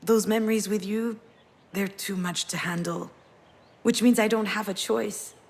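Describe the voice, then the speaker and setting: A woman's voice: mid-range voice, steady tone, unhurried, a little mellow. An actress playing a wistful, wounded woman parting from her ex, speaking in a reflective, intimate way in a naturalistic game performance. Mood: torn, resigned. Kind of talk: naturalistic visual novel voice acting.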